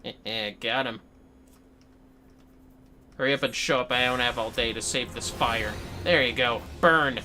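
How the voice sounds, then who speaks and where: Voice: mid-range voice, unhurried, long pauses. Playful, sweary game streamer over music. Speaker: game livestreamer. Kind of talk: casual gameplay commentary with chat replies.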